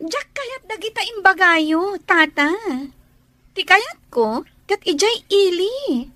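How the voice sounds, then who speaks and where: A woman's voice: extremely high pitch, big pitch swings, drawn-out words, pauses often, pushed and bright, no room sound, exaggerated voice. A woman with a Filipino accent playing a coy, inquisitive young village visitor in a radio drama. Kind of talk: Ilocano radio drama acting.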